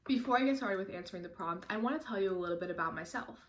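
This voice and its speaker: A woman's voice: mid-range voice, very fast, roomy sound, slight background noise. A teenage girl earnestly reading a prepared personal statement for a scholarship application. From United States. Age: teens.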